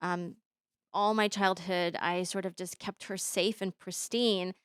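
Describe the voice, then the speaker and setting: A woman in her thirties, born in Bosnia with Bosnian as her first language, telling her story as an interview guest with many pauses and fillers. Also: lowish voice, fairly even intonation, clear, resonant tone, no room sound, studio quality. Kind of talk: conversational interview.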